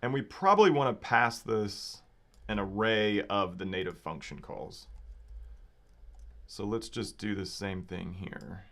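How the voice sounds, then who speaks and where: Guy livestreaming some coding, lowish slightly raspy voice, casual, thinking out loud, keys clicking behind him. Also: expressive, a little slow. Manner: informal, thinking aloud. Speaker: livestream host and software developer. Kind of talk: casual live coding commentary. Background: computer keyboard typing and clicking.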